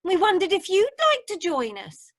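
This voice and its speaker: A woman's voice: very high-pitched, big pitch swings, normal speed, no room sound, clean studio sound. A woman playing an eager, chatty cruise passenger in an amateur radio drama. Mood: cheerful, chatty. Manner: eager, sociable. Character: chatty, sociable woman passenger from the Welsh valleys.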